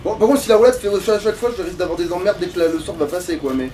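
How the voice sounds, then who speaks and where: A man's voice: sits high, expressive, rapid-fire, mellow, recorded from a distance, low-quality recording. A man giving casual, crude and joking commentary while he plays a video game. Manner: informal, crude swearing, joking. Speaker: player and host of a gaming let's play.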